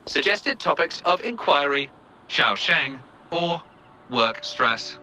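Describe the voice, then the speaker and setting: A man's voice: fairly high pitch, animated, slow pace, pushed and bright, heavily acted, rough recording. A man voicing a precise, literal robot assistant in a video game, with formal, clipped, report-like delivery. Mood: matter-of-fact. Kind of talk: scripted video game voice acting.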